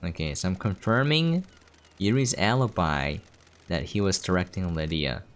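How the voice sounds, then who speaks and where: A man's voice: low-pitched, expressive, moderate pace. A man giving casual gameplay commentary, thinking aloud as he goes. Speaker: game streamer. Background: game music.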